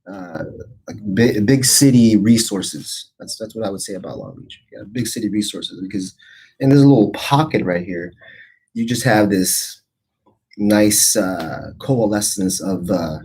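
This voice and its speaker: A man's voice: lowish voice, measured pace, darkish tone, breathy edge, low-quality recording. A man in his forties, born in the Philippines, hosting a casual livestream show in a rambling, chatty way with lots of fillers and restarts. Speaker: host.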